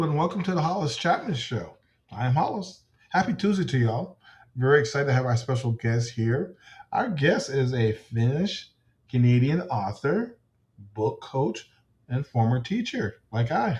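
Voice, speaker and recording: A man hosting an interview show, eager and chatty, rambling a little as he welcomes and questions his guest. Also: mid-range voice. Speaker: podcast host.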